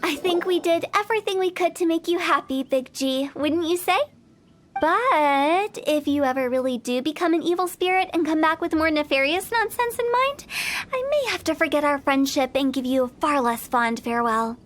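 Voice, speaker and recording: A mischievous young funeral director, voiced by a woman with a very high, bright-toned voice. She talks in a playful, teasing way with a sly ominous edge, like a video game cutscene, and faint noise sits under her. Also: moderate pace, lightly acted.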